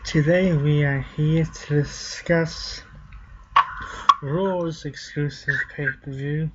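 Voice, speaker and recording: A man co-hosting a casual wrestling talk show, relaxed and unhurried, with filler pauses. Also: on the high side. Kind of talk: casual chat. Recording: dull lo-fi sound with faint hiss.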